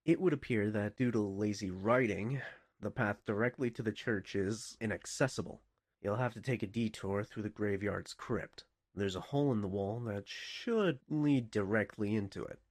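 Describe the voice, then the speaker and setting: A man playing a wry, bossy headquarters dispatcher in a video game, giving deadpan official orders with goofy, sarcastic asides. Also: fairly low pitch, normal speed, no room sound, close to an everyday voice. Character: wry, bossy, easily exasperated male headquarters dispatcher sending prisoners on missions. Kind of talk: video game mission briefing voice lines.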